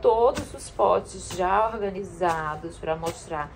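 A woman's voice: fairly low pitch, takes it slowly, vocal fry, a little hiss or hum. A young woman chatting casually to her viewers while sorting food in her home kitchen, filmed by a camera on the counter. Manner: chatty, addresses viewers often. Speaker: vlogger. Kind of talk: casual kitchen vlog chat.

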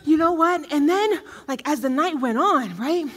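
Clingy young girlfriend, played by a woman, stage comedy: high, piercing, melodramatic, breathless, noisy theatre recording. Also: lively intonation, normal speed. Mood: giddy, gushing. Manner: gushing, melodramatic, breathless, repeating herself. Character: giddy, lovestruck, clingy young woman after first night together. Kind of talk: comedic stage play acting.

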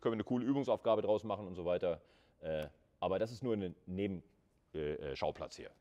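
A male university lecturer with a fairly low voice. He explains in a colloquial, conversational style, thinking aloud as he goes and turning to students with questions. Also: quick pace, mellow, dull audio.

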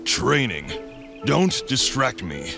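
Guy playing a stubborn hothead cartoon echidna, gruff n creaky, big swings in pitch. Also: sing-song, slow, sharp tone, faint music behind, exaggerated voice. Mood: gruff, reluctant. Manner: gruff, curt. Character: gruff, hot-headed, stubborn male echidna warrior. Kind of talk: animated comic dub acting.

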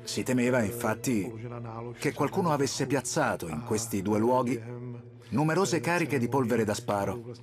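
A man's voice: medium pitch, animated, normal speed. Middle-aged man guiding a cathedral tour. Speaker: historian. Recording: music underneath.

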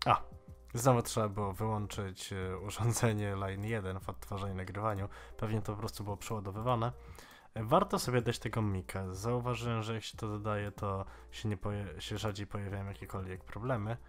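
A man in his twenties giving a casual, informal software tutorial in a slightly halting way. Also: fairly low pitch, little pitch movement. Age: 20s. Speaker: tech tutorial host.

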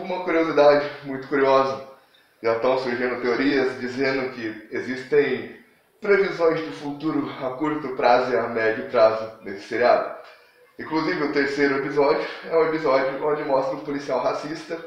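Male Brazilian vlogger, slangy and self-deprecating, dull lo-fi sound with heavy room echo. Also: medium pitch. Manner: informal, self-deprecating, rambling. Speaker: YouTube channel host. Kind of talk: casual opinion vlog, slangy. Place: small dim room at home, indoors.

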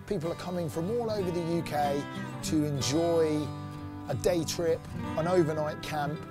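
A man in his forties, an outdoor activity company director, talking enthusiastically in a promotional interview outdoors in a sunny woodland, on a lapel mic. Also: on the high side. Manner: enthusiastic, upbeat.

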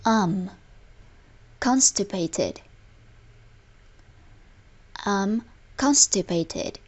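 Very slow, deliberate woman teacher. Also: mid-range voice, lively intonation, darkish tone, slightly rough. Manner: deliberate, word-by-word enunciation. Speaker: language teacher. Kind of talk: scripted lesson narration, slow repeated example phrases.

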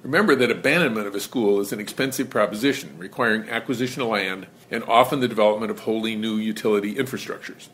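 A man presenting an educational lesson in measured, scripted narration. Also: fairly low pitch, fairly bright tone, a little hiss or hum. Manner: measured, explanatory.

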